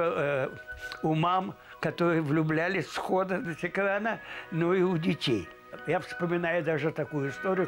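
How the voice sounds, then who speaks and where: A man in his seventies reminisces in an interview, effusive and halting as he searches for words. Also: on the high side. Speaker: interviewee recalling a colleague. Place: indoors, book-lined room. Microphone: lapel microphone. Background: music.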